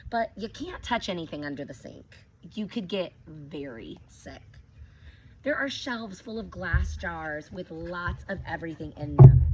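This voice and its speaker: A woman teacher reading a picture book aloud to children, gently stopping to ask them questions. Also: lowish voice. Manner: gentle, asks children questions between pages. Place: outdoors with her dogs. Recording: dull lo-fi recording with clear background noise.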